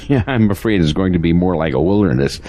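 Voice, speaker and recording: A man playing a burdened, earnest pastor in an audio drama, speaking solemnly and haltingly. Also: low voice, animated, mellow. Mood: grave, wry.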